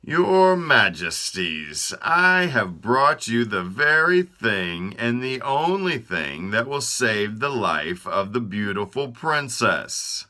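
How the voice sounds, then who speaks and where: A man voicing a boastful talking tongue that speaks slowly and emphatically. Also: mid-range voice, very animated, sharp tone, full character voice. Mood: smug. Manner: slow, emphatic, self-important.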